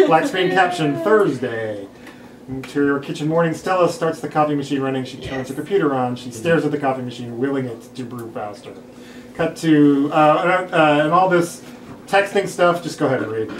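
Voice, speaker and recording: Male narrator for stage directions, slightly high voice, roomy distant recording with background hiss. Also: normal speed. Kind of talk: script read-through narration. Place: room at a group table read. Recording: echoey room, distant mic, hiss.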